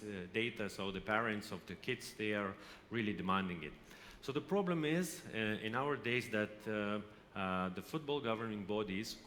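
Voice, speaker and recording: A man from Lithuania gives a conference presentation, speaking haltingly with frequent hesitations and restarts. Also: lowish voice, fairly bright tone. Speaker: company representative presenting. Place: exhibition hall. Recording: reverberant hall, faint background noise.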